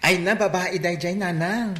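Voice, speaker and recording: A woman's voice: on the low side, fairly even intonation, normal speed, a bit bright, roomy sound, close to an everyday voice. A woman playing an affectionate, insistent young adult in a Filipino radio drama, talking colloquially. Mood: defensive. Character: affectionate young adult defending a sweetheart against parent.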